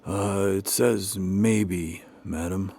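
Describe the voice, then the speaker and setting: A man's voice: low-pitched, steady tone, extremely slow, warm tone, dry recording, exaggerated voice. A man playing a skeptical, hesitant and politely stiff husband in a radio drama. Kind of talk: old-time radio drama acting.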